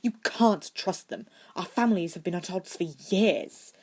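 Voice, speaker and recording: A woman's voice: fairly low pitch, unhurried, a little mellow. Woman doing a haughty schoolboy, dramatic, suspicious, emphatic, audiobook character. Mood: indignant. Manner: emphatic, indignant.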